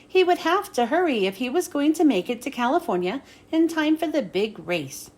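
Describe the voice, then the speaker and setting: A woman reading a children's storybook aloud as an expressive narrator. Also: high voice, normal speed. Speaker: storybook reader.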